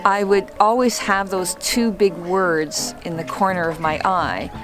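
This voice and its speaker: A woman who once worked as a trial lawyer, coaching viewers on public speaking in a calm, deliberate and well-projected voice. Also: fairly low pitch, music in the background. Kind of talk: instructional talk to camera.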